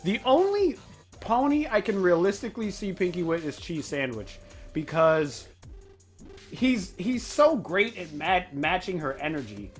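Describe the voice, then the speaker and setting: Animated, high-pitched man reacting. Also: a little slow, soft background music. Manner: animated, rambling, exclaiming. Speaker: reaction video host. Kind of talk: casual reaction commentary.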